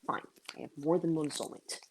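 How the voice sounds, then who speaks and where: A low-pitched, dry voice for a guarded young man in an audio fan drama, acted by a woman. He mutters and grumbles with sarcasm, reluctant but secretly soft, and the recording is close and dry. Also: fairly even intonation, a little fast, husky, no room sound. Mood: reluctant, grumbling. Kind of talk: audio fan fiction acting.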